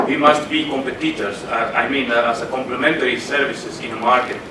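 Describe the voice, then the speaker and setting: A man's voice: mid-range voice, steady tone, normal speed. A man in the audience at a panel asking a question, hesitant and searching for words, heard from across a conference hall. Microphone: room audio, away from the microphone. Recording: roomy and distant with background noise.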